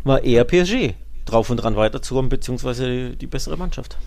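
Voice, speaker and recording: A man's voice: medium pitch, lively intonation, fast, creaky, a little mellow. A man co-hosting a football podcast, explaining matches casually and restating points for emphasis.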